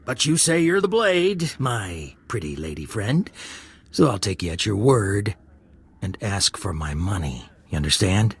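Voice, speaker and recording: An oily space swindler in a video game. The voice is lowish and raspy, swinging up and down as it wheedles with fake chumminess and insinuation. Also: male voice, very animated, a little slow, exaggerated voice. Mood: smug, needling. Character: sleazy, wheedling male space-smuggler swindler, falsely chummy.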